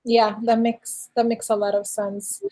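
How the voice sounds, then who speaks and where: A young woman with a foreign accent hosting a casual interview, informal and halting as she works her way into questions. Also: on the high side, level tone, warm tone. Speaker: interviewer, show host.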